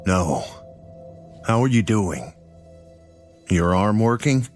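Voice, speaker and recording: An actor playing a grim, blunt old sheriff in a horror audio drama, gruff, terse and commanding. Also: male voice, lowish voice, big pitch swings, takes it slowly, faint music behind. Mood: stern, grim.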